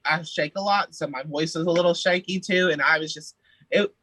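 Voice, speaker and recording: A man's voice: fairly high pitch, steady tone, a little fast, bright, forceful tone, no room sound. A young man, a singer, chatting playfully as a podcast guest and laughing often. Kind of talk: casual interview chat.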